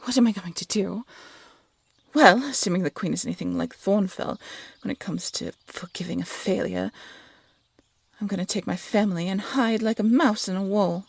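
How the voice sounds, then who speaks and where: A woman playing a blunt, sarcastic young huntress, a soft-hearted failed assassin, in an audio roleplay drama. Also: mid-range voice, normal speed, creaky, slightly rough. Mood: wry, grudging.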